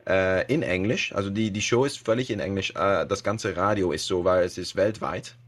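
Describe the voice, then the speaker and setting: A man's voice: sits low, moderate pace. Hesitant Dutch man speaking German. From Netherlands. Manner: hesitant, many fillers and restarts, laughs often. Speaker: podcast guest, web radio host. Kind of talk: casual podcast interview.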